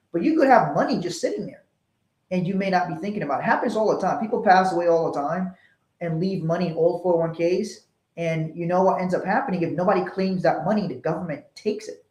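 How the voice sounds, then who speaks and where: A man's voice: a little high, a little mellow. A man from Liberia presenting a financial webinar in a conversational, explanatory way. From Liberia, West Africa. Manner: explanatory, illustrates points with acted-out dialogue. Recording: roomy echo.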